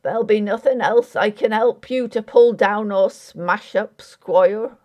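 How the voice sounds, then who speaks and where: A woman's voice: mid-range voice, measured pace, a little mellow, highs cut off, lightly acted. Woman voicing a dogged village carpenter. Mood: sarcastic. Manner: folksy, rambling, dialect grammar. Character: old working-class English village carpenter, dogged, wry and superstitious.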